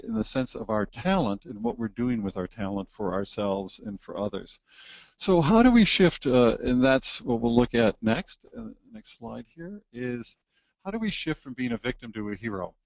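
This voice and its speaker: A man giving a live webinar presentation, explaining ideas in a measured way with frequent fillers. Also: mid-range voice, darkish tone, no room sound. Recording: slightly muffled, dry webinar audio.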